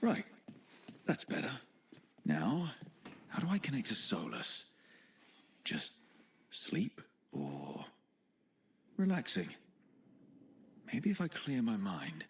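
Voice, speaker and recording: An actor playing a reflective, earnest young male adventurer in a video game cutscene, musing aloud with quiet determination. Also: mid-range voice, wildly swooping pitch, slow pace, creaky, pauses often, slight background noise, heavily acted.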